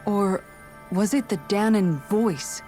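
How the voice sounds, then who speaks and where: A composed, analytical young woman of a fantasy game , played by an actress, with a mid-range voice. She talks in a measured, wry way with faint music underneath. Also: mid-range voice, mellow, close to an everyday voice. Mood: thoughtful. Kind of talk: dramatic English game dub acting.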